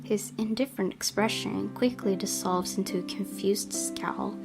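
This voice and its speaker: A woman with a mid-pitched voice narrates a visual novel. Her reading is expressive and measured, in a storytelling style. Guitar music plays clearly behind her. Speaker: gaming video host reading a visual novel aloud.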